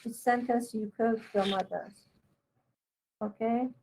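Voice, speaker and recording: Slow, creaky-voiced woman teacher correcting haltingly. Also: fairly high pitch, steady tone, warm tone, a little hiss or hum, low-quality recording. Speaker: university teacher giving feedback on a student's paper. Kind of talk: one-to-one spoken writing feedback.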